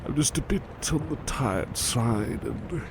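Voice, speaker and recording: Gentle, affectionate butler played by a man for ASMR roleplay; low, expressively varied delivery, hesitant and stammering, over clear background noise. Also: lively intonation, slow. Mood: weary, flustered. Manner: polite, hesitant, stammering, tender. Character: gentle, devoted, flustered male butler, tired and affectionate. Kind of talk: intimate ASMR roleplay, soft-spoken.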